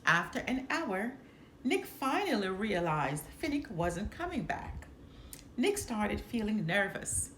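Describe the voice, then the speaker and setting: Animated woman reading a storybook aloud. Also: medium pitch, slow pace. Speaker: storybook reader. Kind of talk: expressive read-aloud of a children's storybook. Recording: roomy echo, some background noise.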